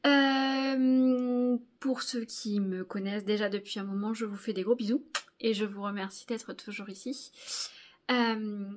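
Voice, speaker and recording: A woman in her thirties from Normandy, chatting casually to camera in a knitting vlog, hesitant with many fillers and quick laughs. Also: mid-range voice, fairly even intonation. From Normandy, France. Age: 30s. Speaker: knitting video host. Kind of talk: casual monthly vlog chat.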